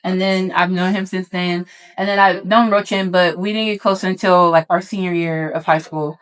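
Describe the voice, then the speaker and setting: A young woman co-hosting a casual podcast, talking in a relaxed, rambling way with plenty of fillers. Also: lowish voice, normal speed, smooth, low-quality recording. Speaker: podcast co-host. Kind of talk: casual chat.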